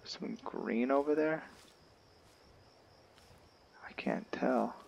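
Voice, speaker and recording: A man's voice: medium pitch, slow pace, gravelly, a little mellow, muffled recording. A man giving casual roleplay commentary on a video game, often hesitant and trailing off mid-thought. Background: background music.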